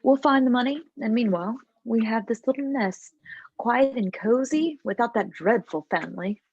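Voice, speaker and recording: A woman's voice: on the high side, expressive, normal speed, darkish tone, breathy edge, dry recording, highs cut off. A woman playing a controlling, scheming mother in a table read, talking to her grown son in a syrupy, coaxing way.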